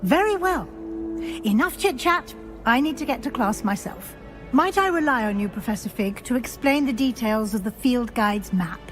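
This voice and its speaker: A brisk, middle-aged British witch professor from a fantasy game, acted by a woman. Her voice sits fairly high and swings expressively as she gives formal, exacting instruction, with music underneath. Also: lively intonation, natural voice. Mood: brisk, mildly exasperated. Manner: crisp, formal, schoolmistress-like. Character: brisk, exacting female witch professor guiding a new student. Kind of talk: video game character dialogue.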